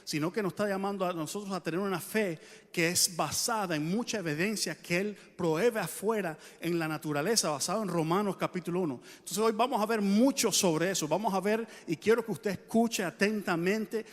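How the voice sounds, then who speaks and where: A man's voice: sits high, fairly quick, big-room sound. A man introducing a guest speaker from the pulpit, rousing the congregation to respond.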